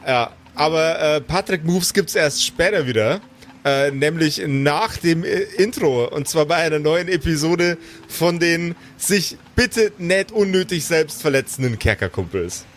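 Slightly high-voiced male game master, some noise. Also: expressive, measured pace, a bit bright. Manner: teasing. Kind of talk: casual improvised tabletop narration and banter.

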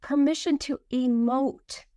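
Woman, very slow delivery, reflective, deliberate phrasing, dry close recording. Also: high voice, steady tone, warm tone, clear, resonant tone, no room sound. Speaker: children's book author, podcast guest. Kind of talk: reflective interview conversation.

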